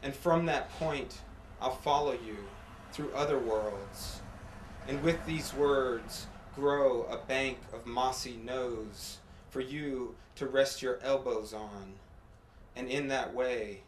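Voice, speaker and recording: A man reading poetry aloud in a measured, deliberate way, with pauses between short phrases. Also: mid-range voice. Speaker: poet reading own work. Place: apartment room at a live reading. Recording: echoey room, faint background noise.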